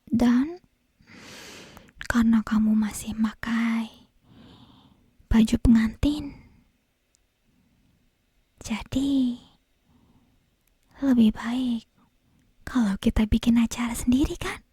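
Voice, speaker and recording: Woman acting a breathy, whispering yandere girl. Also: fairly high pitch, steady tone, dry recording, clean studio sound, exaggerated voice. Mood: coaxing, jealous. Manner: slow, intimate, drawn-out phrasing with soft giggles. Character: obsessive possessive yandere young woman, sweetly menacing kidnapper. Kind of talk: ASMR roleplay. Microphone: close binaural ASMR microphone.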